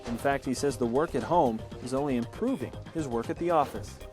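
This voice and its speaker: A man reading a company newsreel as its anchor, in a smooth scripted announcer delivery. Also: mid-range voice, fairly quick, darkish tone, soft background music. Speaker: news anchor. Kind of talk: scripted news narration.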